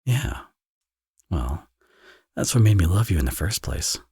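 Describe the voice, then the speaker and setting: A man's voice: low voice, sing-song, unhurried, warm tone, slightly rough, no room sound, clean studio sound, heavily acted. A man playing a teasing, flirtatious villain and doting father in an intimate romance audio roleplay. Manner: playful, smug, sarcastic teasing.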